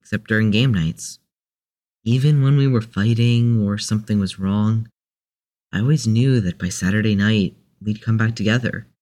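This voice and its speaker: A man's voice: lowish voice, normal speed, a little mellow, natural voice. A man playing a mild, easygoing and slightly hesitant character in an audio drama. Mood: nostalgic. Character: easygoing, nostalgic man, mild peacemaker of the group.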